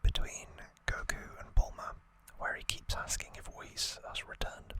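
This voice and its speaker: Softly whispering ASMR host. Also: a little slow. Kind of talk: whispered ASMR, recapping an anime story.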